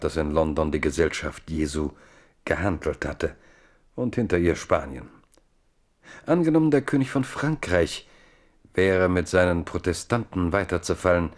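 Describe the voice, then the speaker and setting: A man with a low voice narrates a historical radio play. His delivery is measured and literary, clearly scripted storytelling.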